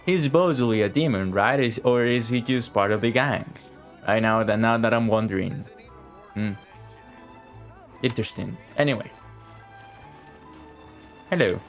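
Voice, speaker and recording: A man's voice: medium pitch, unhurried, long pauses. Casual male game streamer over music. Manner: informal, rambling, playful asides. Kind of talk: casual live game commentary.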